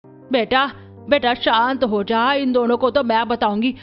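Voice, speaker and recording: A woman's voice: on the high side, steady tone, measured pace, lo-fi sound, soft background music, natural voice. A woman voicing a harsh, scolding middle-aged aunt in a cartoon family drama.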